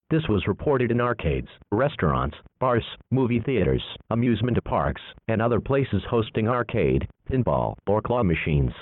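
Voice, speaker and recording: A man's voice: fairly low pitch, dry recording. A man reading a formal scripted emergency alert as an announcer, impersonal and matter-of-fact, over narrow, muffled audio.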